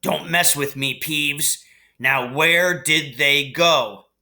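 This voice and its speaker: Man doing a curt, forceful, irritable caretaker. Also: fairly high pitch, slow, heavily acted. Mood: impatient. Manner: curt, demanding. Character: irritable male caretaker, demanding and impatient. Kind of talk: storybook character voice in read-aloud.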